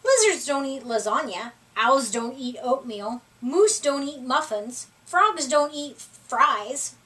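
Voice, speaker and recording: A woman's voice: high-pitched, animated, takes it slowly, fairly bright tone, full character voice. A woman voicing a bossy, scoffing bunny and animal friends in a storybook, emphatic and drawn out, at some distance from the microphone. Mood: mocking, insistent.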